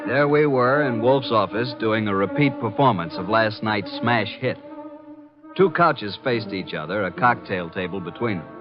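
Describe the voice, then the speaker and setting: A man's voice: lowish voice, expressive, moderate pace, over music, natural voice. A man playing a wisecracking, streetwise detective's assistant and narrator in an old-time radio drama, wry and cocky. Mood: wry narrating. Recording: dull vintage radio drama recording.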